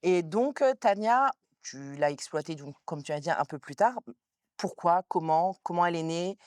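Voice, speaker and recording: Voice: medium pitch, expressive, moderate pace, darkish tone, no room sound, clean studio sound. An interviewer, hosting a casual interview show.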